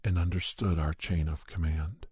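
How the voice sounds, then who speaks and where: A man with a soft, breathy, raspy voice telling horror stories in a hushed, deliberate ASMR style, close to the microphone. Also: low-pitched, fairly even intonation, no room sound. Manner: slow, hushed, deliberate delivery. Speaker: podcast host and storyteller. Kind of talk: soft ASMR first-person horror storytelling.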